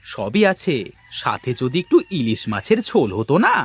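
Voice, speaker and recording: A man voicing a cheerful, teasing husband in a cartoon family drama, expressive and jovial. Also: medium pitch, moderate pace, breathy edge, no echo, full character voice. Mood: appreciative. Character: cheerful, teasing Bengali husband who loves good food.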